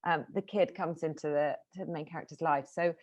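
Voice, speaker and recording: A woman's voice: deep voice, fairly even intonation, a little fast, darkish tone, muffled recording. A woman interviewing a filmmaker over a video call, asking long, winding questions with fillers.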